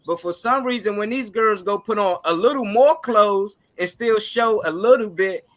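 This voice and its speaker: A man's voice: high voice, normal speed, a bit bright. A man on a talk show panel chatting casually and arguing his points emphatically. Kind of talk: casual debate chat.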